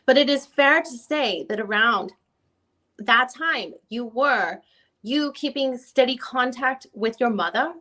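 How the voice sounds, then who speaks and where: A high-pitched female lawyer, voiced by a woman works through a deposition reading. She puts probing questions in a formal, somewhat halting way. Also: lively intonation, sharp tone, heavily acted. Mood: questioning. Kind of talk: dramatic reading of a deposition transcript.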